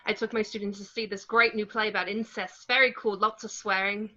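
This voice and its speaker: Chatty friend: very bright, eager, chirpy woman reader at a table read, slightly muffled lo-fi sound. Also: medium pitch, little pitch movement, brisk. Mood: chirpy, helpful. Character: chatty, helpful theatre-loving woman friend, a teacher. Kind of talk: informal table read of a film script.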